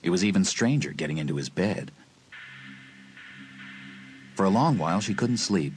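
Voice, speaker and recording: Deep-voiced male suspense narrator. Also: lively intonation, moderate pace. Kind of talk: scripted suspense narration for dramatised audiobook. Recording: music under the voice.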